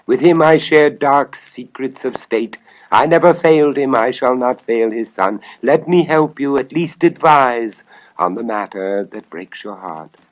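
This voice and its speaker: A man's voice: mid-range voice, measured pace, pitched above the performer's own voice, lightly acted, rough recording. A man voicing a loyal, cunning old court wizard in a storybook tale, with a solicitous, probing and reassuring manner. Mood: eager reassurance. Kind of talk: storybook audio dramatization.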